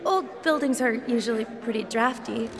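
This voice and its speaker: A woman playing a breezy, stubborn young female adventurer in an audio drama, casual and a bit bossy, with a creaky voice. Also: a little high, moderate pace, in a large hall, close to an everyday voice. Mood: playful, dismissive.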